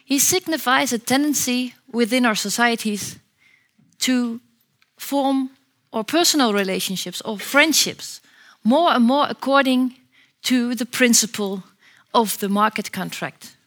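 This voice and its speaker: A woman's voice: on the high side, a little slow, a bit bright. A woman program manager introducing a lecture to an audience, reading a prepared introduction with small fillers. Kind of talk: event introduction.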